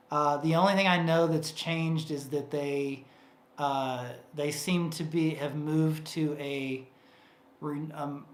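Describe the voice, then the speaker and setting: Chatty man in an echoey room. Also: on the high side. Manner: rambling and chatty, many fillers and restarts. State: voice getting tired. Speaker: co-host of a livestream Q&A. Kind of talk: casual livestream Q&A. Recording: roomy echo.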